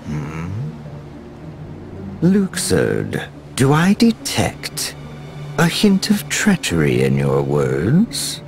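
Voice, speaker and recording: An actor playing a vain, scheming middle-aged scientist villain in a video game, with a haughty, theatrical, drawn-out delivery. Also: male voice, deep voice, wildly swooping pitch, gravelly, soft background music, exaggerated voice. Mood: haughty, indignant. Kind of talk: video game cutscene acting.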